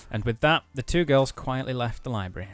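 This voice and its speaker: A man's voice: medium pitch, animated, brisk, dry recording. A man co-hosting a let's play, casually commenting and reading game text aloud.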